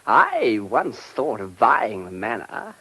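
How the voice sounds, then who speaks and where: A self-satisfied English country-house owner in a radio drama. He is breezy and boastful, with a lively, swooping delivery and a creaky, rough-edged voice. His talk is full of chummy, moneyed slang. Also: mid-range voice, takes it slowly, full character voice, rough recording. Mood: blunt, breezy. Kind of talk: British radio drama acting.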